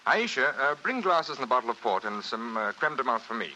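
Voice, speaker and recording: A man's voice: mid-range voice, sing-song, brisk, pushed and bright, rough recording. An actor playing a suave, airy Englishman, a breezy and polite man, in 1940s radio style. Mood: suave, delighted. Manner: breezy, polite, self-possessed. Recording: hissy old radio recording.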